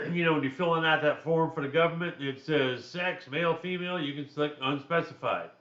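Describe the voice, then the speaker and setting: A man with a deep voice talks through a class chapter in an easygoing, conversational lecture, dropping in personal asides. The recording is slightly dull and muffled, with a noticeably roomy echo around him. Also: a little fast, fairly bright tone. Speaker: instructor.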